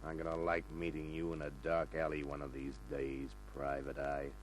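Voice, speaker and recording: An actor playing a big, surly hired gunman, quick to threaten, in an old-time radio crime drama. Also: extremely low pitch, monotone. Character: big, menacing, thick-headed male hired gunman. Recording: humming, noisy old radio recording.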